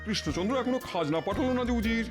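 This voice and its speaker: A man's voice: sits high, expressive, very fast. A man playing an imperious, menacing Nawab in a comedy cartoon, commanding and threatening. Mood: irritated, threatening. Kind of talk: Bengali comedy cartoon dubbing. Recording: music behind the voice. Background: background music.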